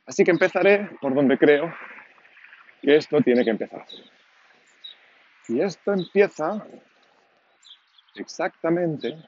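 A man's voice: a little high, expressive, slow, pauses often, darkish tone. A man of 49 from Catalonia with a Catalan accent in his Spanish, hosting a solo podcast in an informal, unscripted monologue, thinking aloud as he rambles. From Catalonia, Spain. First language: Catalan, alongside Spanish. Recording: dull lo-fi home recording, clear background noise.

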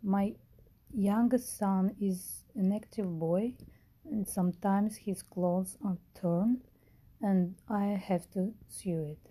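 A woman, an English student and mother of three, reading a prepared self-introduction slowly and carefully. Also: mid-range voice, steady tone, mellow, husky. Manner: slow, listing, careful.

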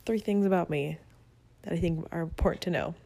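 A young woman from Venezuela chats upbeat and a little self-mocking as she hosts her own podcast. Her voice sits low and warm with a gravelly edge of fry. The sound is dry and close, caught on a phone app rather than a real mic. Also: brisk, dry recording. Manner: chatty, upbeat, self-deprecating. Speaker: podcast host. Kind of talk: casual solo podcast monologue. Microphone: phone app, no proper mic.

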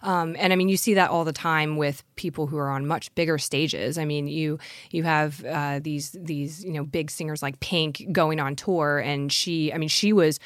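A woman's voice: low-pitched, steady tone, moderate pace, few pauses, no room sound, studio quality. A woman singer and guest in a casual interview, recovering from a cold and rambling with many fillers and laughs. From Washington DC area. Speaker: singer in an acoustic duo, guest. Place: studio.

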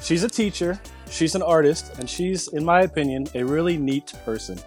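A man's voice: a little high, moderate pace, a little mellow, faint music behind. A middle-aged man co-hosting a podcast, telling stories with enthusiasm in casual chat. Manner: enthusiastic storyteller. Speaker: podcast co-host.

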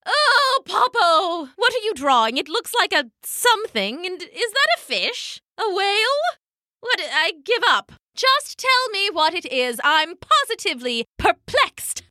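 A woman's voice: very high-pitched, sing-song, unhurried, bright, forceful tone, no room sound, clean studio sound, voice pushed up, heavily acted. A woman voicing a prim, fussy elderly female penguin who speaks in alliterative exclamations. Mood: exasperated.

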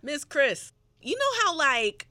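A woman's voice: sits high, takes it slowly, heavy background noise. A woman hosting a podcast, talking casually and animatedly about music, with frequent fillers. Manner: animated storytelling, many fillers. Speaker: podcast host.

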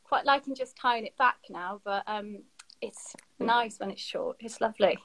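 A woman from Sheffield speaks over a video call into a live stream. Her voice sits a little high, and she chats in a relaxed, friendly way, full of likes and ums. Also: moderate pace, low-quality recording. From Sheffield, England. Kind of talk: casual interview chat.

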